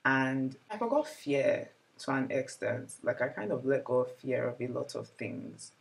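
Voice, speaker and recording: A woman's voice: extremely low pitch, expressive, a little slow, creaky. A woman in her twenties chatting casually and reflectively to her vlog audience, with many "like" fillers. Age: 20s. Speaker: vlogger. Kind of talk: casual year-in-review chat.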